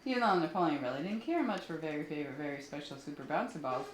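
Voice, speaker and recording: A woman with a fairly low voice tells children's stories aloud. She reads expressively and pauses to explain things to young listeners. The recording is roomy, with faint background noise. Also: expressive. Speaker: children's story reader. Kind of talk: storytelling read aloud for children, with asides.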